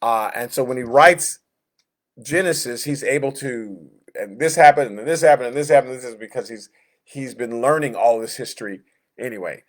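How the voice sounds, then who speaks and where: A man teaching Sunday school in a conversational, halting way, reading verses and explaining them, often backing up to rephrase. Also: medium pitch. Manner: conversational, halting, backtracks and rephrases, acts out inner thoughts. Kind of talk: informal Bible lesson, reading verses and explaining.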